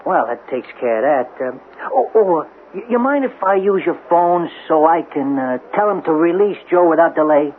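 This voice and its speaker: An actor playing a casually probing police detective with a halting, hesitant delivery in a radio drama. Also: male voice, on the high side, lively intonation, lightly acted. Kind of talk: 1970s radio drama acting. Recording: tinny band-limited old radio recording, hiss.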